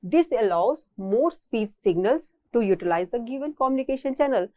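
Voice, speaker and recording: A woman's voice: fairly high pitch, lively intonation, moderate pace, a little mellow, no echo, clean studio sound. A woman with an Indian accent giving a recorded technical lecture as a college lecturer, explaining and rephrasing her points.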